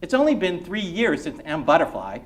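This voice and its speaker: A man voicing a proud, boastful older immigrant father, a successful banker who chatters loudly and excitedly about his son. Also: on the high side, fairly quick, pitched above the performer's own voice.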